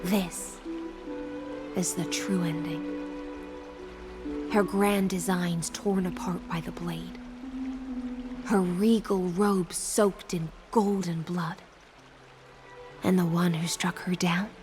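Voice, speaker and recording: A world-weary woman narrating a video game tale. She is slow, measured and theatrical, melancholic and grand, her phrase endings softening over clear background music. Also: fairly low pitch, steady tone. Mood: solemn, cold.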